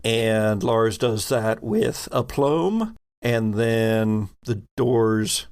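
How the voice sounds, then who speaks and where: Man, game master, slow pace, gravelly fry, improvised scene description, searching for words. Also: on the low side, expressive, a little mellow. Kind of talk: improvised narration of a tabletop role-playing game.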